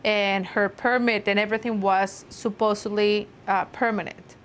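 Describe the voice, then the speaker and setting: Middle-aged mother, earnest and halting. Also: mid-range voice, fairly even intonation. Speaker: interviewee, mother. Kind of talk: interview narration.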